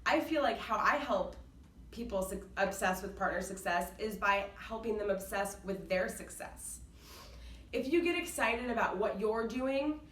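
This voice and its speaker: A woman giving an earnest, enthusiastic unscripted pitch about workplace values, with a loud voice. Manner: enthusiastic, earnest, says she has a loud voice. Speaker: employee applying to a company culture club. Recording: roomy, slightly distant mic with faint background noise.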